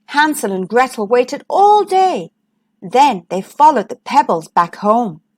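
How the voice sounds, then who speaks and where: A woman storyteller with a high voice reads to young children. Her delivery is slow and deliberate, with very animated, expressive pitch movement. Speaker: children's storyteller, channel host. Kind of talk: read-aloud storybook narration for young children.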